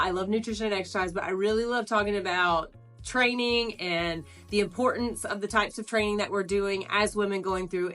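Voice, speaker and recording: Slightly nasal woman coaching fitness. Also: medium pitch. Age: 40s. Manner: warm, earnest, explains step by step with pauses. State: getting over the flu. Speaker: wellness coach. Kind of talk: instructional talk to camera.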